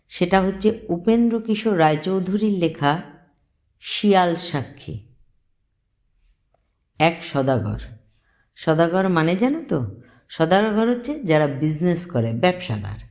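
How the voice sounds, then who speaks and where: A woman's voice: deep voice, darkish tone. A woman telling a story to children, explaining simply and putting questions to her young listeners.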